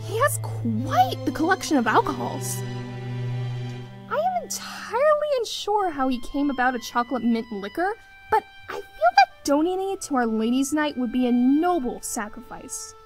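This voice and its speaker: A bubbly young female adventurer from a fantasy visual novel, acted out by a woman, speaks in a high, swooping voice. She is warm and playful with a caring streak, and music plays clearly behind her. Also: sing-song, normal speed. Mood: cheerful, playful. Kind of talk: visual novel character dialogue.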